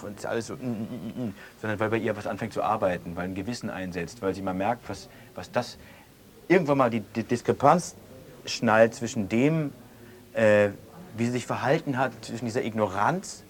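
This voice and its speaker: A man's voice: fairly low pitch, close to an everyday voice. An actor playing an embittered, vengeful man who searches for his words as in a real interview. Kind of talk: naturalistic interview-style radio drama.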